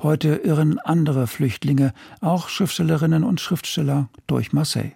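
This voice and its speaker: A man's voice: fairly high pitch, moderate pace, vocal fry, warm tone, slightly rough, no room sound, studio quality. A man reading a scripted introduction as a radio presenter.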